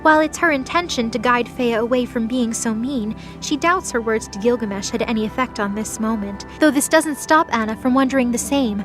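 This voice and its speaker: Woman reading story narration, voice on the high side, music playing clearly behind her. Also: brisk, hardly any pauses. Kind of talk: scripted light novel narration.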